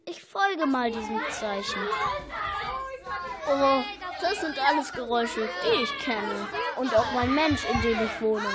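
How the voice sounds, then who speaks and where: A high, slow-paced little male earworm, acted by a woman for a children's audio story. He is chatty and easily annoyed, exclaiming and grumbling to himself as he goes. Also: slight background noise. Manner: exclaiming, grumbling, thinking out loud.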